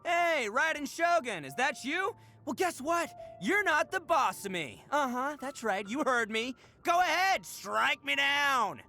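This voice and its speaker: Casting: a young oni delinquent, video game, cocky and goofy, forceful tone, very animated delivery. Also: male voice, very high-pitched, unhurried, hardly any pauses, soft background music, full character voice. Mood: defiant, worked up. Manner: cocky, slangy, theatrical delinquent bravado. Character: brash, boastful, goofy young oni gang leader.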